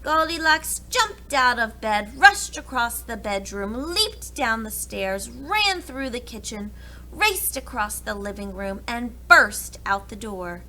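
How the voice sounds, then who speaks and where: A woman narrating a children's story aloud for preschoolers, with dramatic and deliberate phrasing. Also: a little high, sing-song, sharp tone, low-quality recording.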